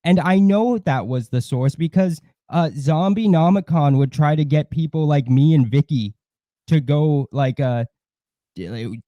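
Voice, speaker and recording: A man in casual livestream chat, blunt and disdainful, swearing heavily and haltingly circling back over his points. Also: on the high side, mellow, no echo, highs cut off. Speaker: co-host of a livestream.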